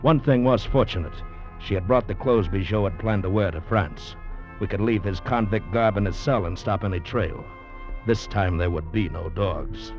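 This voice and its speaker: A low, grave male voice plays a convict recounting a penal-colony tale. The delivery is measured and dramatic in old radio-drama fashion. It comes through a dull vintage recording with prominent music behind it. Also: a little mellow. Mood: grave, suspenseful. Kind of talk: 1940s radio drama narration and acting.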